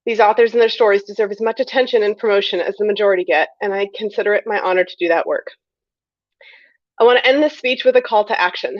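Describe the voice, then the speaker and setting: A woman's voice: mid-range voice, fast talker, no echo. Woman giving a speech, dull dry sound. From United States. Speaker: award winner, critic and librarian. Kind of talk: prepared acceptance speech, read out.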